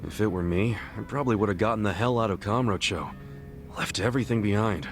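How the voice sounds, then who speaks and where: A man's voice: on the low side, fast talker, faint music behind, close to an everyday voice. A man voicing a blunt, curious, easygoing friend in a video game cutscene, talking in a casual, slangy way. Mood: frank, casual.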